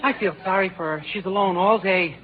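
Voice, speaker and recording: An actor playing a timid, trusting husband in a 1940s-style radio comedy. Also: male voice, high-pitched, brisk, lightly acted. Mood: innocent, contented. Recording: tinny old radio, narrow band.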